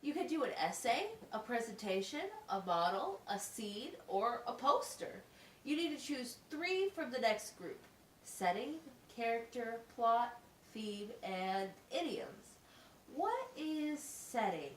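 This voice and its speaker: Lively high-voiced female teacher, acted by a woman. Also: slow pace. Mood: instructive, upbeat. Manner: instructional, simple step-by-step explaining with questions. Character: patient, encouraging female special education teacher at wizard school. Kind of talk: amateur puppet show acting. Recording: echoey, distant mic with faint noise.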